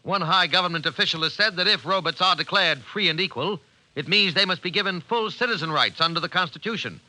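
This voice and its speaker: A man's voice: sits high, quick pace, sharp tone, no room sound, low-quality recording. An actor playing a grave, urgent male newscaster in a 1950s radio drama. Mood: grave, dramatic.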